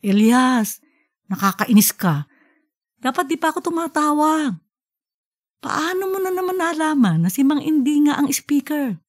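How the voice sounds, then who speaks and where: A woman's voice: sits high, sing-song, a little slow, long pauses, voice pushed up. A woman voicing a nagging but fond middle-aged wife in an audiobook story. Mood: amused annoyance.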